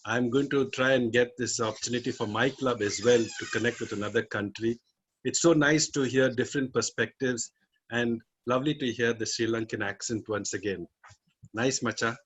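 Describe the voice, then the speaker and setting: A man's voice: mid-range voice, fairly even intonation, normal speed, a bit bright, husky, poor audio. A man, an area director, giving direct, then enthusiastic, feedback at an online meeting.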